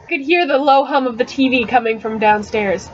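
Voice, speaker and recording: A woman's voice: on the high side, brisk. A woman giving an amateur dramatic reading of a novel, prone to giggles and stumbles, recorded on a webcam microphone. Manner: breaks into giggles and stumbles over words. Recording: dull, noisy webcam audio.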